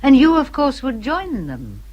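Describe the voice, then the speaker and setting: A woman's voice: sits high, wildly swooping pitch, unhurried, a little mellow, highs cut off, exaggerated voice. An actress playing a fond, opinionated middle-aged English lady in a radio period drama, refined, effusive and emphatic. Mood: concerned.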